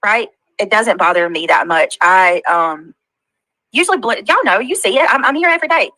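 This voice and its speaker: A woman with a Southern US accent talks to her livestream viewers in a bright, forward voice. She halts often with fillers and sounds hurt and defensive. The audio is dry and close. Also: mid-range voice, lively intonation, moderate pace, dry recording, poor audio. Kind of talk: casual livestream talk.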